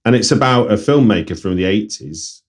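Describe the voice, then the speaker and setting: Podcast host, male, Manchester accent, low raspy voice, relaxed conversational delivery. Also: normal speed. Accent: Mancunian. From Manchester, England. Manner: conversational, frequent backchannel murmurs. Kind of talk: casual interview chat.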